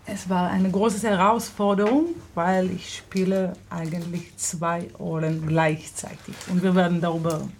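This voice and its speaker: Israeli-accented woman, slow and halting. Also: lowish voice, little pitch movement, darkish tone, a little hiss or hum. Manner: halting, searching for German words, laughs often. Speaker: actress and theater director. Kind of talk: radio studio interview. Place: radio studio.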